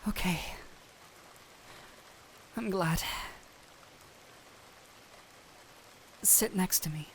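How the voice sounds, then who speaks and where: A woman playing a gentle, anxious doctor in an audio roleplay, speaking earnestly and haltingly to the listener over rain. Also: lowish voice, slight background noise. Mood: gentle coaxing. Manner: earnest, hesitant, pleading with broken phrases. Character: gentle, anxious human doctor pleading with a small cat-thief. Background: rain ambience.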